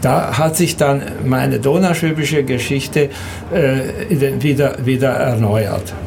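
An elderly man who grew up in Serbia and Hungary, recounting his life in an interview in a halting, hesitant way with repeated words. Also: mid-range voice, vocal fry, a little mellow, slight background noise. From Danube Swabian from Serbia and Hungary. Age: 70s or older. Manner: halting, hesitant, repeats words, often ends with a questioning tag.

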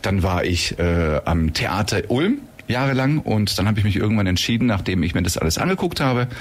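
A fast-talking man in his fifties with a low, husky voice. He rambles from topic to topic with jokes in a relaxed radio chat. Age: 50s. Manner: talkative, joking, rambling. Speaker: actor at a youth theater.

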